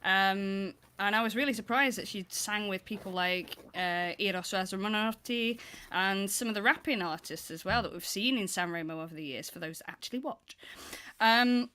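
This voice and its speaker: A woman's voice: mid-range voice, moderate pace, bright, forceful tone, clear, resonant tone. A woman on a fan panel, bubbly and chatty, laughing as she gives her view. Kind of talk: casual panel chat.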